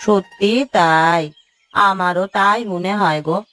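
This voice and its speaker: A woman acts as a concerned village housewife in a cartoon fairy tale. She speaks solemnly and sympathetically, with gentle background music under her voice. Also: fairly low pitch, fairly even intonation, slow pace. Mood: sympathetic. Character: concerned village housewife gossiping gravely about neighbours.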